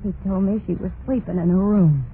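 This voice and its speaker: A woman's voice: mid-range voice, a little fast, lightly acted. An actress playing a hard, bitter murderous woman confessing her crime in a terse, dramatic old-time radio horror style. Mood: haunted, dramatic. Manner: terse, snappish, grimly dramatic. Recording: tinny, noisy vintage radio recording.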